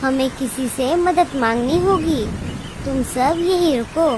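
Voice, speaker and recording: A very high, childlike cartoon chick, played by a woman, anxious and pleading. The words come slowly, over clear background noise. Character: anxious young chick, eldest daughter of bird family. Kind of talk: cartoon dub acting.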